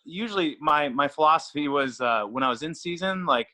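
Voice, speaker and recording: A man's voice: fairly high pitch, lively intonation, fairly quick, pushed and bright. A middle-aged man, a throws coach, chatting casually in an interview, self-deprecating and full of restarts.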